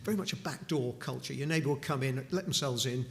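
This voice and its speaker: A man's voice: medium pitch, fast talker, gravelly. A middle-aged man from Newcastle, giving an informal charity talk to a church congregation with many restarts and asides. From Newcastle upon Tyne, England. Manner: conversational, with frequent restarts and asides. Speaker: charity speaker at a chapel.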